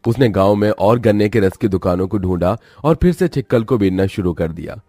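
Low-voiced male storyteller. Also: animated, brisk, warm tone, dry recording, studio quality. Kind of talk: scripted moral story narration.

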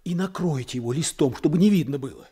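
An actor playing a humble, anxious peasant prisoner in a radio drama, speaking with a Ukrainian accent and a husky voice. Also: male voice, on the high side, lively intonation, quick pace, mellow. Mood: agitated, hopeful. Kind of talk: Soviet radio drama acting.

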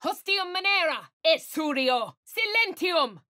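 A woman plays a posh English spellcaster who calls out incantations in a high, commanding voice. She draws each word out slowly, recorded close and dry in a studio booth. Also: sing-song, pushed and bright, dry recording, heavily acted. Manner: commanding, declamatory. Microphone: close studio mic.

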